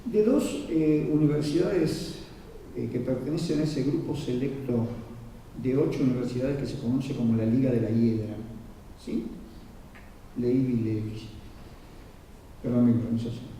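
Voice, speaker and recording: A man's voice: mid-range voice, moderate pace, mellow, slight background noise. Muffled man lecturing in an echoing hall. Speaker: lecturer at a conference. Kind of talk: formal lecture on history, read from notes with asides. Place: large reverberant conference room. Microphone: distant microphone. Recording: very echoey hall, muffled, far from mic.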